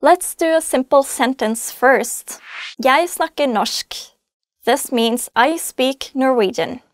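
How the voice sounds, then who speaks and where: A woman from Norway presenting a scripted language lesson, speaking clearly and patiently as she spells out word forms. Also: high voice, dry recording, clean studio sound. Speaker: language teacher.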